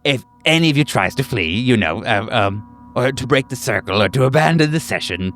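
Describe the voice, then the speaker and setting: A man voicing a fawning, sinisterly earnest group therapy doctor in a tabletop game, halting and repeating himself emphatically with nervous chuckles. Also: mid-range voice, lively intonation, fairly bright tone, faint music behind, voice pushed up. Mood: agitated, effusive. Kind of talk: theatrical group-session address.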